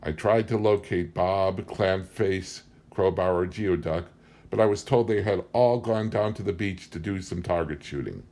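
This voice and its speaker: A man's voice: deep voice, a little slow, a little mellow. A man reading a book aloud as scripted story narration. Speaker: audiobook reader.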